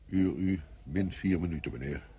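An actor playing a grim, brooding officer who muses fatalistically in a wartime radio drama. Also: male voice, sits low, moderate pace, muffled recording, a little hiss or hum, natural voice. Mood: terse. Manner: musing, fatalistic monologue.

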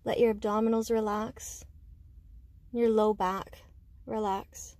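A woman guiding a meditation with slow, soothing instruction, speaking gently and unhurriedly in short phrases with pauses. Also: medium pitch, little pitch movement, no echo. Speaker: meditation guide.

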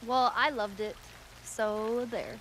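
A woman's voice: fairly high pitch, steady tone, slow pace, a bit bright, slight background noise, low-quality recording. A woman acting a teenage girl in a video game, American, blunt and sarcastic yet tender-hearted, talking naturalistically. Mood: playful.

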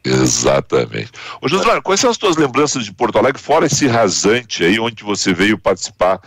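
A man in his thirties with a Gaúcho accent hosting a radio interview, chatty and animated, full of tag questions. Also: fairly low pitch, moderate pace, no room sound, clean studio sound. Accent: Gaúcho Portuguese. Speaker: radio host. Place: radio studio. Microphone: studio mic with headphones.

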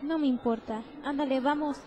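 Woman as a high-voiced sullen teenage girl. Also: fairly even intonation, normal speed, noisy background, lightly acted. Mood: irritated. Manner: grumbling, curt. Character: sullen, irritable young teenage girl, big sister. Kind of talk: anime fan dub acting.

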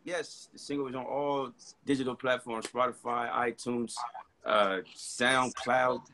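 A man's voice: mid-range voice, flat delivery, unhurried, bright, forceful tone, slight background noise, low-quality recording. A man, a singer and interview guest, giving brief casual promotional talk on a video call from an indoor room.

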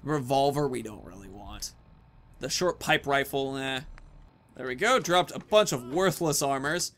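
A man's voice: medium pitch, expressive, unhurried, fairly bright tone. A man in his thirties giving casual, chatty let's-play commentary, thinking aloud as he plays. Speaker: gaming video host. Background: video game sounds.

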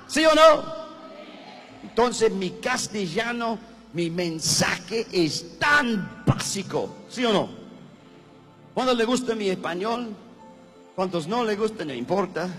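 A man preaches on a stage into a handheld mic, shouting and demanding responses from the crowd. His voice is very high and bright, and he speaks slowly. A long hall reverb and music surround him. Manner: shouting, calls for audience responses. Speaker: guest evangelist.

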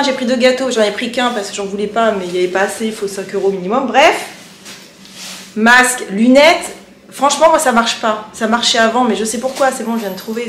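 A woman's voice: fairly high pitch, fairly bright tone. A woman in her forties talking casually to camera in a vlog, chatty and a bit exasperated, the camera held at arm's length. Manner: chatty, exasperated, self-mocking. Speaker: vlogger. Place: apartment indoors, also outdoors in the street. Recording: echoey room, faint background noise.

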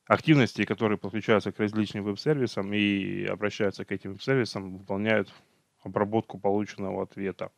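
Low-voiced man giving a slide lecture. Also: dry recording, clean studio sound. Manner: unhurried, many fillers and self-corrections. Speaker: technical trainer. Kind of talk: online training lecture over slides.

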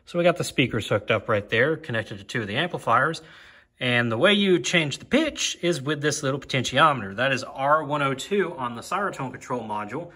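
A man's voice: medium pitch, animated, a little fast, sharp tone, slightly rough. A man giving a casual, hands-on technical demo in an informal, explanatory way. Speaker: hobbyist demonstrating siren equipment. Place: on a concrete floor beside open equipment.

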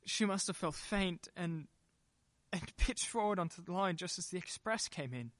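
An actor playing an evasive husband in a radio play, theatrical and patronizing, smooth but quick to get irritable. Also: male voice, high-pitched, expressive, a bit bright, no room sound. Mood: subdued, pained.

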